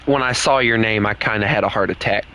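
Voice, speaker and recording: A man's voice: mid-range voice, huge pitch swings, normal speed, pushed and bright, slight background noise. A young man with a Southern US accent, chatting casually and candidly with other players while streaming a game. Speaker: gaming streamer. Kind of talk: casual live-stream chat.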